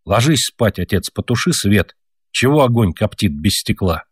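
A man's voice: lowish voice, lively intonation, normal speed, husky, no room sound, studio quality. A man voicing an earnest young peasant boy who lectures his parents and tells a long story. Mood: storytelling.

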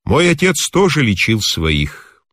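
A man voicing a kindly, talkative old mountain healer in a story, with a calm, instructive, measured manner. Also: medium pitch, no echo, exaggerated voice. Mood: calm, reassuring.

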